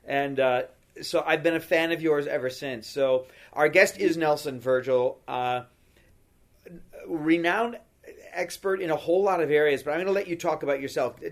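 A man's voice: fairly high pitch, moderate pace. A man hosting a podcast interview, speaking emphatically and giving listeners direct advice. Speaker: podcast host.

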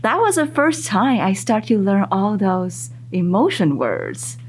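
A woman psychologist whose first language is Mandarin, talking in a casual interview with thoughtful, lively rises in her voice. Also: mid-range voice, measured pace, slight background noise. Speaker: psychologist guest.

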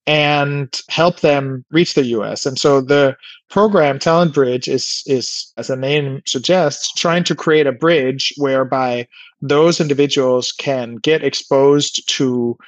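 A man's voice: mid-range voice, takes it slowly, no room sound, poor audio. A man from Denmark explaining things informally in a conversational interview, often hedging with asides. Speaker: podcast guest, biotech co-founder and scientist.

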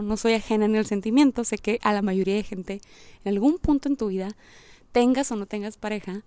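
A woman's voice: on the high side, mellow, no echo. A woman giving a casual, reflective monologue as a podcast host, informal and slangy, rambling as she thinks aloud. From Puerto Rico.

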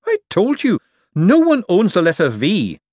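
A man voicing an anxious young man, pitched higher and strained. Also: wildly swooping pitch, normal speed, a little mellow, no room sound, studio quality, full character voice. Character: nervous young man buying a fake.

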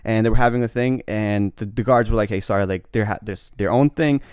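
A man's voice: lowish voice, brisk, darkish tone, clean tone, no echo, studio quality. A young man from Pakistan in casual podcast chat, rambling and slangy, often correcting himself mid-sentence.

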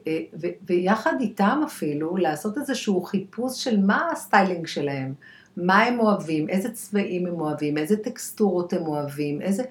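An Israeli woman speaking as an expert guest in a conversational podcast interview, halting and repeating words for emphasis as she searches for phrasing. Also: lowish voice, lively intonation. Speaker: guest expert, clinical dietitian. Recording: echoey room.

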